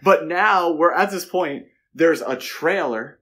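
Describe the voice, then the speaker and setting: A man's voice: fairly high pitch, slow pace, a bit bright, breathy edge. A man co-hosting a podcast, chatting casually about films with conversational, wry asides. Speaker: podcast co-host. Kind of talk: casual film discussion.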